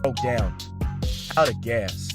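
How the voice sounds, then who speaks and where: A man's voice: medium pitch, slow pace. An actor playing a weary, widowed old retired salesman, mild and plainspoken, in a comic dub. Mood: reflective. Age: older. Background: loud background music.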